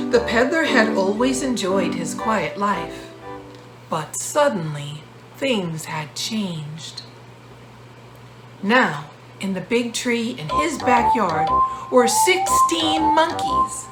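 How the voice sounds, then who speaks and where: A woman author narrating a children's storybook in measured, deliberate phrases. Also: medium pitch, low-quality recording. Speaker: author reading a book.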